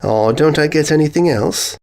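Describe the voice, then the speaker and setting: A man's voice: fairly high pitch, moderate pace, vocal fry, a little mellow, voice pushed up. A man voicing a flirty male pony prince who plays up mock-romantic lines.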